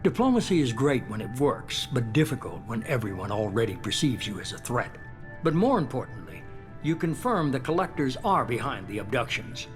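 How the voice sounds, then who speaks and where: Video game shadowy boss, male actor: middle-aged, calculating, authoritative, measured delivery, music underneath. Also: mid-range voice, big pitch swings, close to an everyday voice. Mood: measured, grave.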